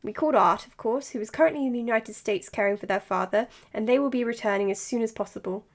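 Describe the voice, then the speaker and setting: A woman's voice: a little high, fast. A woman playing an earnest, slightly awkward doctor in an audio drama, formal and a little self-conscious. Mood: composed, formal. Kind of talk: audio drama acting as a podcast monologue.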